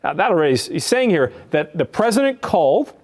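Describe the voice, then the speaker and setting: A man in his thirties reporting on a news discussion show, halting and emphatic, repeating phrases as he reads out and explains a report. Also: high-pitched, big pitch swings. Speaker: news correspondent. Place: television studio.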